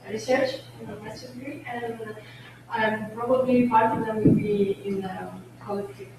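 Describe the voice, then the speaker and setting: A woman's voice: fairly high pitch, fairly even intonation, moderate pace. A woman, an exchange student, introducing herself tentatively and searching for words. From Russia. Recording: distant mic, echoey room, noise.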